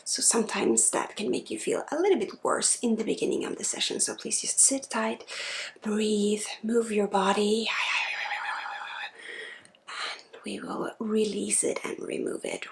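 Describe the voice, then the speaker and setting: Creaky, whispery woman doing ASMR. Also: mid-range voice, fairly even intonation, unhurried, a little hiss or hum. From Sweden. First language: Swedish. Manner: soft-spoken, drifting into breathy whispers. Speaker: energy healer and spiritual guide. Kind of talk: ASMR guided energy healing session.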